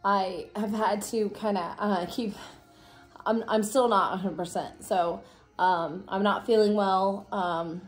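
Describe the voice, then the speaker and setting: A woman in her thirties hosting a casual vlog, raspy and low on energy from a cold, chatting with many fillers in her living room. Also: fairly even intonation, slow, faint music behind. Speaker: vlog host. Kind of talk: casual chat to camera.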